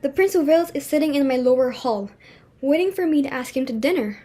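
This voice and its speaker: A high-voiced society hostess and mother, acted out by a woman in a stage comedy reading. She is imperious and easily flustered, and speaks in emphatic, melodramatic exclamations. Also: animated, fast talker, dark tone. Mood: astonished.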